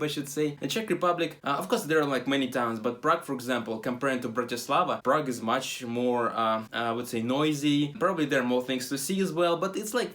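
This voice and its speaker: A man's voice: medium pitch, a bit bright. A young man with a Russian accent, a travel vlogger talking casually to camera, rambling with lots of fillers and restarts.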